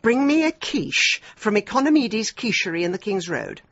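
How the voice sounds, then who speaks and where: A woman's voice: medium pitch, sing-song, normal speed, fairly bright tone. An actress with an English accent playing a brusque, sardonic middle-aged city woman in a radio drama, dry and haughty in manner. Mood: dry, brisk. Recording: dull lo-fi radio drama with music.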